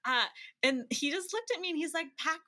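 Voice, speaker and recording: A woman guest chats casually on a podcast. Her voice is very bright and a little high. She tells anecdotes in a bubbly, expressive way, quoting people as she goes. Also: fairly quick. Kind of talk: casual anecdotal interview chat.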